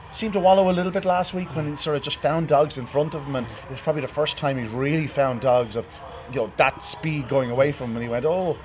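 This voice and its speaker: This Irish man has a hoarse voice and hosts a greyhound racing review. He talks quickly and goes into detail on race times. His pitch sits in the middle range for a man. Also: slight background noise. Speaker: racing show presenter. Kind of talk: post-race review and analysis.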